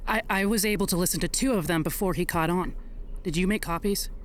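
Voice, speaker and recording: A woman's voice: on the low side, fast talker, a little hiss or hum, natural voice. A woman playing a stoic, guarded and regretful medical researcher in an audio drama.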